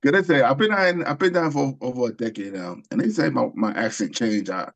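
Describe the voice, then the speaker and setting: A man from Queens, New York, tells a long story as a guest, stopping and restarting with plenty of ums. His voice sits at a middling pitch. The sound is a little dull and lo-fi. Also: expressive, brisk, vocal fry. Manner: rambling, many ums and restarts. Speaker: author and screenwriter guest. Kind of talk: casual interview storytelling.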